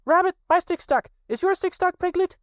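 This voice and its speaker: A man voicing an excitable small young kangaroo boy who chatters eagerly in a very high, squeaky voice. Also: normal speed, no echo, clean studio sound. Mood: excited.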